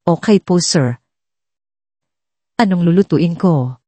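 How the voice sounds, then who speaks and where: Woman voicing a frank, polite young Filipina secretary, mellow. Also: on the low side, takes it slowly, dry recording. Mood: polite, curious. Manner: respectful, candid. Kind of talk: storybook drama dialogue.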